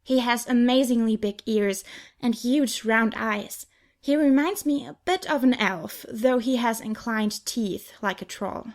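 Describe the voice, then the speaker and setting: A woman's voice: a little high, measured pace. A woman reading first-person young adult fiction as an audiobook narrator.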